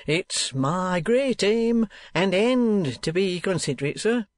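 A man's voice: sits high, animated, slow pace, no echo, studio quality, voice pushed up. A man voicing a boastful, hypocritical middle-aged schoolmaster with pompous, oily sales patter in an audiobook. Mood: self-important.

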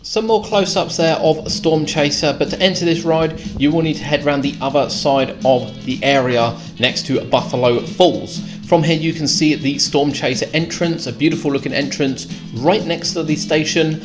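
A British man giving a measured, descriptive voice-over tour as a theme park vlogger. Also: fairly high pitch. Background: clear background music.

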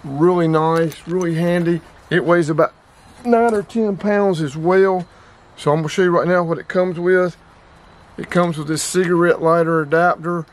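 A man's voice: a little high, steady tone, normal speed. A man in his fifties hosting a casual vlog, talking through a product demo in a plain-spoken, rambling way that repeats itself, close to the camera in a small storage room. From North Carolina. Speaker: outdoors and radio channel host.

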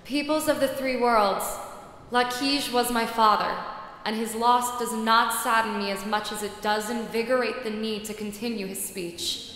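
A woman's voice: a little high, fairly even intonation, moderate pace, a little hiss or hum, lightly acted. Woman as dignified alien delegate in echoing hall. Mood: solemn, resolute. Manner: formal oratory, earnest. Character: dignified, resolute alien delegate, bereaved daughter. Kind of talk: radio drama acting. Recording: echoey hall reverb under loud music.

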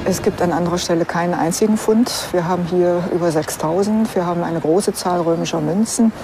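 A woman's voice: on the low side, a little fast, music in the background. A woman explaining finds as an archaeologist in an unscripted documentary interview.

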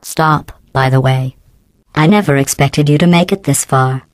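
A woman playing a sinister, passive-aggressive female artificial intelligence in a video game, deadpan and precise, with robotic voice processing. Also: sits low, no echo. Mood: sardonic, menacing. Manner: deadpan, precise, sardonic, measured. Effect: robotic synthetic voice processing. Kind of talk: scripted video game character acting.

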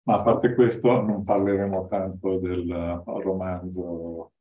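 A man in his fifties gives an informal slide lecture as a guest speaker, in halting, hesitant phrases, standing in a classroom away from the microphone. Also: low voice, flat delivery, mellow. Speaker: guest lecturer, artist-researcher. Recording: echoey room, distant from mic.

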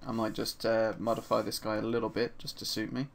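A man with a slightly hoarse voice from a sore throat narrates a screen-recorded 3D sculpting tutorial in a relaxed, chatty way, with frequent ums and uhs. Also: lowish voice, a little fast. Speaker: 3D art instructor. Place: at his desk, voice-over for a screen recording.

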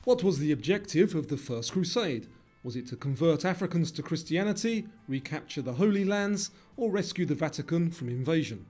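A man with a somewhat high voice narrates a quiz from a script. He reads each question and option clearly over background music. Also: animated, fairly quick, a little mellow.

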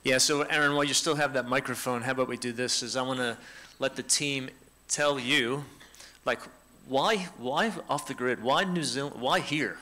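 A middle-aged man, casually leading a panel in a church hall on a handheld mic, relaxed and joking. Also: mid-range voice, moderate pace, hardly any pauses. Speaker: team leader hosting a panel. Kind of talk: casual church talk to a congregation. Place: church hall with a seated audience. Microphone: handheld microphone.

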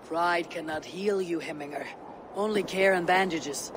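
A loyal Viking warrior voiced by a man, pitched high for a male, firm and measured with a wry edge. It is game cutscene dialogue with clear ambient noise. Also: close to an everyday voice. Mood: concerned. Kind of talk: video game cutscene acting.

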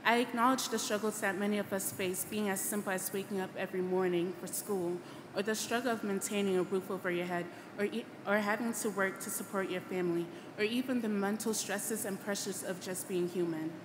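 A woman's voice: medium pitch, fairly even intonation. A young woman in her late teens from Ghana, giving an earnest, formal scripted graduation speech at a podium microphone. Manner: earnest, formal, measured oratory. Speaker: graduating student speaker. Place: large auditorium stage at a podium. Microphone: podium microphone on a boom stand. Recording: echoey hall PA, loud background noise.

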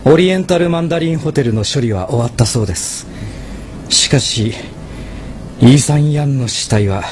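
An actor playing a dutiful, level-headed mafia aide in an audio drama, reporting formally and in measured phrases. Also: male voice, medium pitch, animated, slight background noise, natural voice. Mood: sober. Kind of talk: Japanese drama CD acting.

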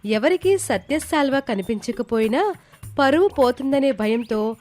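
Woman storyteller, higher voice, reading a moral tale over music. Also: animated, unhurried, darkish tone. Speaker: story narrator. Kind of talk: scripted moral-story narration. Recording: background music behind the voice.

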